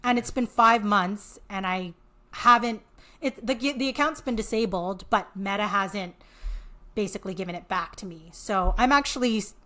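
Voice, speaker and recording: A woman with a Canadian accent speaks as a guest in a casual interview. Her voice sits at a middle pitch. She explains things conversationally, repeating and restarting words as she works through a thought. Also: moderate pace, sharp tone. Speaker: podcast guest, author and marketing entrepreneur.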